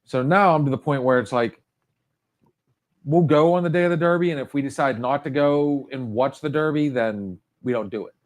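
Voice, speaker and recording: A man in his forties with a middle-pitched voice chats casually as a podcast co-host. He rambles, circles back and restarts his sentences often. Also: normal speed. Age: 40s. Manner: rambling, with many restarts and fillers. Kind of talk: casual chat.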